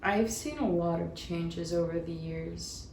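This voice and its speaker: A woman's voice: on the low side, a little slow, gravelly, darkish tone. A woman in her twenties speaking in a laid-back way about skating. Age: 20s. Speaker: skater. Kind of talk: casual interview answers. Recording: echoey room with background noise.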